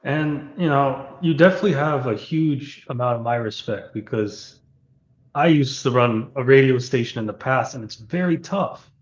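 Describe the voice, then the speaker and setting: Halting male interviewer in an echoey room. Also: mid-range voice, highs cut off. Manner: halting, searching for words. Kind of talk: casual interview questions.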